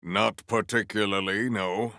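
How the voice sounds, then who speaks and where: An actor playing a formal, valiant, earnest warrior hero in a video game, with stately, theatrical diction. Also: male voice, on the low side, steady tone, measured pace, bright, forceful tone, no echo, clean studio sound. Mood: measured, dry. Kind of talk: scripted video game character lines.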